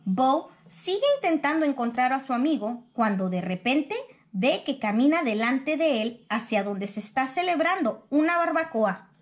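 A woman reading a children's picture book aloud in expressive storybook narration. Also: sits high, moderate pace.

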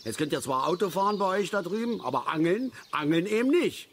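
An actor playing a blunt, rambling, know-it-all older East German angler in a naturalistic radio drama. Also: male voice, sits high, sing-song, a little fast. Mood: indignant. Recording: outdoor ambience with birds, clear background noise. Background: birdsong and lakeside nature ambience.

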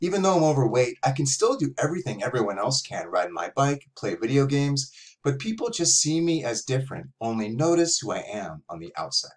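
A man's voice: medium pitch, big pitch swings, a little fast, natural voice. Man reading as a self-conscious seventh-grade boy. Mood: reflective, earnest. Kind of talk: expressive reading of verse novel. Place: small room at home, indoors.

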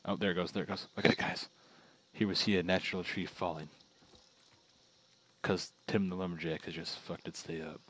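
Deep-voiced young man joking while gaming. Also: steady tone, a little fast, long pauses, fairly bright tone, low-quality recording. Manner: joking, rambling storyteller. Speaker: gamer. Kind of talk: casual co-op gaming banter.

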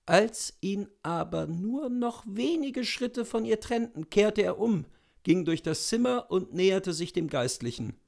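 A man in his thirties reading a literary story expressively, with deliberate dramatic pauses. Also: high voice, expressive, creaky, a little mellow. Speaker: literary reader, narrator. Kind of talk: expressive literary audiobook narration. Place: indoors in front of bookshelves.

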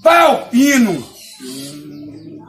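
A man with a Brazilian accent telling a comic anecdote in casual chat, excitable and repetitive, acting out sound effects and dialogue. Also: very high-pitched, drawn-out words, vocal fry, soft background music. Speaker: comic storyteller.

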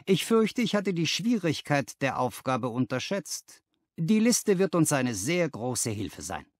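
A man voicing a brisk, patronising company boss in an audiobook, businesslike and used to giving orders. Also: fairly high pitch, sing-song, dry recording, pitched above the performer's own voice. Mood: condescending. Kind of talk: audiobook character dialogue.

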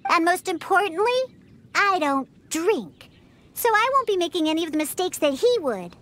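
Very high-pitched sassy little cat girl. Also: sing-song, moderate pace, a bit bright, exaggerated voice. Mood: exasperated, determined. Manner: huffy, haughty, emphatic delivery. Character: sassy, grumpy cat-eared little girl bartender who scorns drunks. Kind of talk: scripted video game dialogue. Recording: music behind. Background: background game music.

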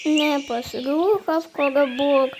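High-voiced woman narrating a rhyming fairy tale. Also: slow, background noise. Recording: birdsong behind the voice. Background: chirping birds.

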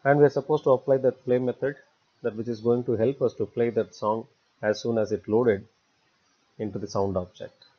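A man narrates a software tutorial step by step. His voice sits at an average pitch, and he speaks in halting, repetitive short chunks. The recording is somewhat dull and lo-fi. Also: steady tone. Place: voice-over for a screen recording.

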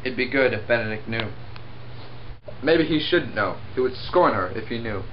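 A young man reading his parts flatly for a home puppet show of a classic play. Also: medium pitch, moderate pace, lo-fi sound, slight background noise. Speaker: amateur sock-puppet performer.

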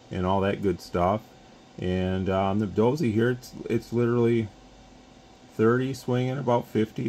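A man's voice: lowish voice, darkish tone, a little hiss or hum. A man who runs a radio shop, talking casually through a bench demonstration in a plain, conversational way. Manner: plain, conversational, with frequent restarts and mic test counts. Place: radio workbench full of test equipment, indoors.

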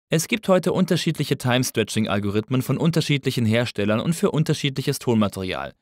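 A man reading a scripted tutorial as an instructor, in a measured, didactic manner. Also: mid-range voice, no echo, clean studio sound. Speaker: audio engineering instructor. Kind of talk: scripted lesson narration.

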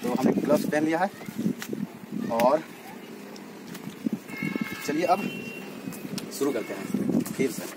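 A man's voice: on the high side, animated, slow, pauses often. A young man, narrating a casual step-by-step home experiment and chatting to viewers as friends. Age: young adult. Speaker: DIY experiment vlogger. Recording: loud background noise outdoors.

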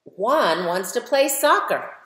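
A middle-aged American woman, a children's author, reads a picture book aloud and speaks directly to young listeners with emphatic, animated delivery. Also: fairly high pitch, slow. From United States. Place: medium living room at home, indoors. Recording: roomy, echoey home recording.

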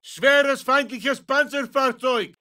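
A forceful, battle-hardened male soldier from a war video game. His voice is very high for a man, with a sharp, tense edge. He barks terse callouts, recorded close and dry. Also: takes it slowly, no room sound, exaggerated voice. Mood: urgent, shouting. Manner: barked, terse commands. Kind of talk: military shooter callouts.

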